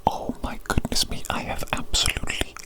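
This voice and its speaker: A woman whispering an ASMR roleplay as a doctor fussing over a creature, coaxing and soothing, often repeating her phrases. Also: fairly quick.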